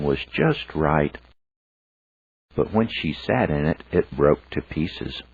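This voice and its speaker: A man's voice: rumbling low, breathy edge. A man reading a fairy tale aloud as a scripted storyteller narration. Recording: phone-like, narrow band sound.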